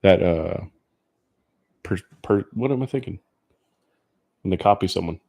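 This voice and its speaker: A laid-back man from Louisiana with a light Cajun accent, co-hosting a podcast. His voice sits on the low side, and he rambles and hunts for words as he talks. His tone stays relaxed and conversational throughout. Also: a little slow, vocal fry. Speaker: podcast co-host. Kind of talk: casual chat.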